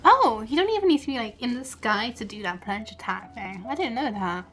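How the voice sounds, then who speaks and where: A woman's voice: fairly high pitch, lively intonation, a little fast, fairly bright tone, faint music behind. A woman streaming a game, chatting casually and thinking aloud in an informal way. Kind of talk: casual live gaming stream commentary.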